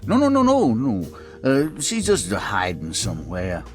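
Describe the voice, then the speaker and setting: Shifty, folksy game character: hesitant and wheedling, widely swinging intonation, music behind. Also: male voice, mid-range voice, huge pitch swings, unhurried. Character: shifty, folksy man hunting a runaway girl. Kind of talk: cinematic video game voice acting.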